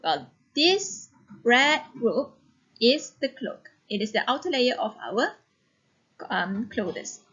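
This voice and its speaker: A woman teacher reading a story aloud and giving instruction in a deliberate, instructive manner. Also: fairly high pitch, big pitch swings, fairly bright tone, slightly rough, highs cut off.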